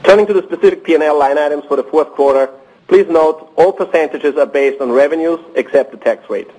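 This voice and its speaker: A man reads financial results in a measured, businesslike tone. His voice sits a little high for a man. The sound is conference call audio. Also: warm tone, low-quality recording. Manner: measured, formal, businesslike delivery. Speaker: company finance executive. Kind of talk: reading prepared earnings remarks on a conference call.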